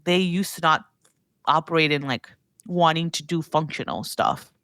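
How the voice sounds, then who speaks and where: Woman chatting casually in a clear, dry recording. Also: sits low, fairly bright tone, dry recording. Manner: halting, heavy use of "like". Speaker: guest commentator on grocery and consumer brands. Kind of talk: casual podcast discussion.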